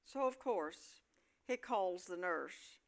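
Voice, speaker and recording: A woman's voice: fairly low pitch, expressive, takes it slowly. Woman narrating a comic play. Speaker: narrator of a staged play reading.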